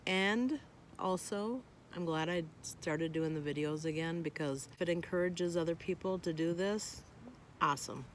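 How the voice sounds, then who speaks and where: An older woman chatting in an unhurried way to her phone camera, first inside a minivan and then outdoors at a campsite, with many ums. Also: on the low side, a little hiss or hum. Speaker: vlogger. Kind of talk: casual chat. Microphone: phone held at arm's length.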